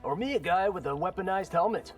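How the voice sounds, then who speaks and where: Animated, earnest young male warrior. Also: high voice, brisk, over music. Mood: dry. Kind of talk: video game fight banter.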